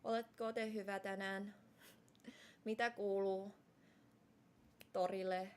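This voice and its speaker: A young adult woman whose first language is Mandarin, chatting casually on a live stream and thanking viewers, with frequent "like" fillers and quick restarts. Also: medium pitch, little pitch movement, a little mellow, slight background noise.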